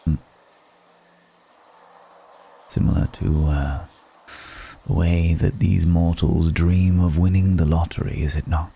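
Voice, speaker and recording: A man playing an ancient, world-weary, seductive vampire in an intimate ASMR roleplay, speaking in a deliberate, brooding way with long pauses. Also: low-pitched, fairly even intonation, vocal fry, mellow, husky, exaggerated voice. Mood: reflective, wistful.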